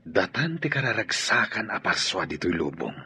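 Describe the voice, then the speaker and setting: An actor playing a smooth romantic suitor in a radio drama. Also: male voice, sits low, big pitch swings, normal speed, pushed and bright, slight background noise, heavily acted. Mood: tender.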